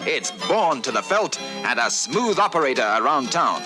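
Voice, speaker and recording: Casting: male advert actor, vintage radio patter, animated, music bed. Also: mid-range voice, moderate pace. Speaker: commercial voice-over.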